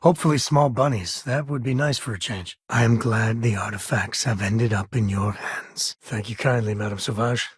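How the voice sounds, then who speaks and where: Game role: wry ex-ranger and single father among the stars; heavily husky, folksy, laid-back, dry studio sound. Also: fairly low pitch, moderate pace, hardly any pauses, dry recording. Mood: amiable. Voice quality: husky, raspy. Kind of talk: video game voice acting.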